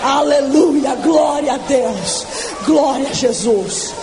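A woman in her twenties preaching her testimony in a fervent, shouting evangelical sermon, stretching words for emphasis. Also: sits high, slow, crowd noise, background noise. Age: 20s.

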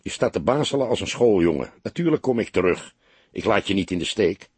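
A man's voice: lowish voice, expressive, fairly quick, slightly rough, dry recording. A man voicing a seasoned, kindly older police detective in an audiobook, with a wry, fatherly, assured manner. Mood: fatherly, teasing.

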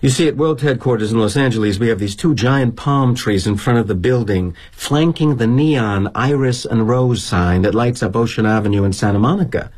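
A man playing a husky, wry corporate executive. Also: mid-range voice, normal speed, hardly any pauses, a little mellow, natural voice. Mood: rueful, wry. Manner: arch, wry, mannered phrasing. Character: jaded middle-aged corporate executive, darkly wry, self-justifying. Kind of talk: dramatic monologue, theatrical storytelling.

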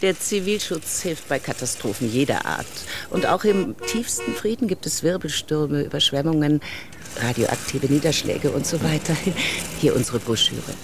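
An actor playing an eager, officiously polite civil defence recruiter in a radio drama, slightly hesitant. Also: male voice, fairly high pitch, lively intonation, normal speed, noisy background.

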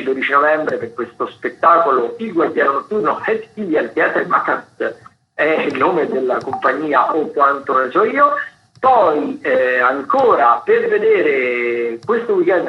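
A man hosting a radio show, recommending events off the cuff in a halting, rambling way and often correcting himself. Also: a little high, dull audio. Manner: halting, rambling, self-correcting mid-sentence. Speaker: radio host. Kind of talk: unscripted radio recommendations of theatre and dance events.

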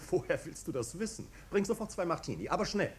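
An actor playing a snobbish, amused aristocrat with a languid, ironic manner in a 1950s German radio drama. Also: male voice, sing-song, brisk, a little mellow. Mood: breezy, condescending. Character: snobbish, amused aristocratic marquis, blasé and mocking. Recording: old radio recording, rumbling background noise.

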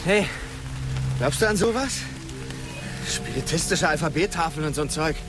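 A man's voice: a little high, animated, normal speed, lightly acted. An actor playing an uneasy, wondering teenage boy in a radio drama. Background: music.

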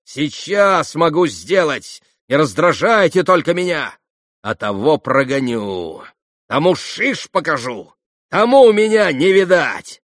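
Storybook role: proud, volatile young woman, played by a man, shrill and high, swinging between spite and anguish. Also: animated, unhurried, dry recording, studio quality, natural voice. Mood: frenzied rage.

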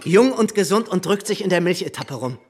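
A sneering SS soldier in a radio drama. His voice sits high, and he speaks in clipped, mocking phrases with open menace. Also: animated, brisk, a little mellow. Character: sneering, bullying SS soldier needling a teenage farmhand. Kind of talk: radio play dialogue.

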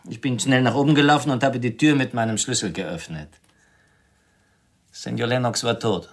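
An actor playing a polite, guarded Mexican hotel employee with a formal, courteous manner in a radio play. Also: male voice, mid-range voice, moderate pace, natural voice. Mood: courteous, matter-of-fact.

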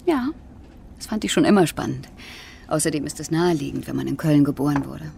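An actress playing a cool, teasing, inquisitive woman traveller in a radio drama, measured and dry in manner. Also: sits low, expressive, gravelly, warm tone, slight background noise, heavily acted. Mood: dry, composed. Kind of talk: German radio drama acting.

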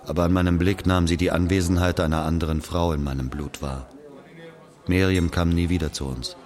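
A man's voice: sits low, fairly even intonation, brisk, darkish tone, lightly acted. A man playing a wistful Algerian narrator recalling love and loss in a literary audio drama. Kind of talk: dramatic first-person narration.

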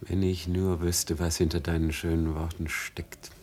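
A man acting a bitter, unforgiving grown son in a classic radio drama, husky and intense, with a sardonic, accusing way of talking. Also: low-pitched, level tone, measured pace, darkish tone. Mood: wary.

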